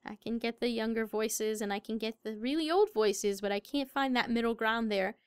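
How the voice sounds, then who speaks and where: A woman's voice: on the high side, steady tone, moderate pace, clear, resonant tone, dry recording, clean studio sound. A very young-sounding woman with an American accent, answering interview questions as a voice actor. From Southern United States.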